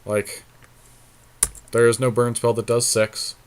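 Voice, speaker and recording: A man's voice: mid-range voice, completely flat delivery, slow, a little hiss or hum. A man co-hosting a gaming podcast, casually thinking out plays aloud with informal, salty banter. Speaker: podcast co-host playing an online card game. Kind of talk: casual game commentary, talking through plays.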